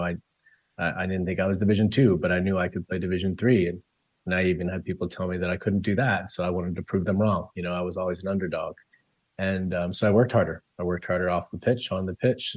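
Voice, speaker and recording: A man's voice: low voice, a little mellow, no room sound. A man from Colombia, founder of a football club, answering interview questions in a rambling way, with many ums and restarts and easy laughs.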